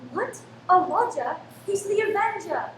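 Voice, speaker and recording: Anxious wife and mother, played by a woman, high voice, urgent radio-drama delivery, roomy echo. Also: expressive, measured pace, exaggerated voice. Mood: frantic, urgent. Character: frantic wife and protective mother, fearing a killer. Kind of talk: radio play acting. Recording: roomy, echoing, faint background noise.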